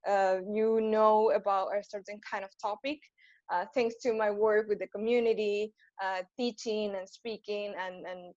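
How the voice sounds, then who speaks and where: A woman's voice: mid-range voice, a little mellow, no room sound, dull audio. Argentine woman chatting on a call. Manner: chatty, friendly, fillers and light laughs. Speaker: panel guest. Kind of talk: casual panel talk.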